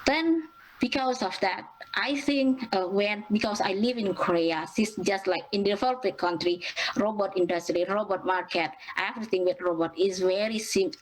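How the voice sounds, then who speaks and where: A young woman from Indonesia with an Indonesian accent, presenting at an academic conference in a halting way, with many fillers and restarts. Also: medium pitch, poor audio. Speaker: student presenter.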